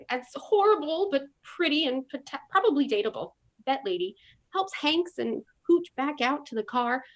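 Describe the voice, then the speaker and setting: A woman's voice: high-pitched, lively intonation, moderate pace. A woman narrating a comedy story in an arch, sarcastic tone.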